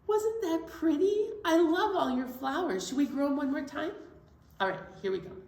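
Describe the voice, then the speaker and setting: A woman's voice: high voice, lively intonation, moderate pace, vocal fry, darkish tone. A woman hosting a children's storytime, playfully explaining songs and activities in a sing-song way to small children. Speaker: children's library storytime host. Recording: roomy echo, distant microphone.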